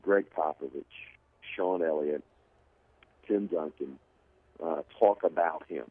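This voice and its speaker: A man's voice: a bass voice, slow. A man giving emphatic sports analysis as an interview guest, often repeating a point to drive it home. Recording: narrow phone-like line.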